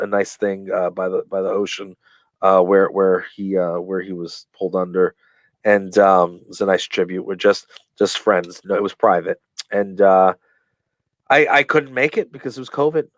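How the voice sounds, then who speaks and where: A man being interviewed on a podcast, telling stories in a rambling way, full of fillers and restarts, and acting out quoted lines with lively voices. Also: deep voice, moderate pace, no room sound. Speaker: guest, professional wrestler. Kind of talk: casual storytelling in a podcast interview.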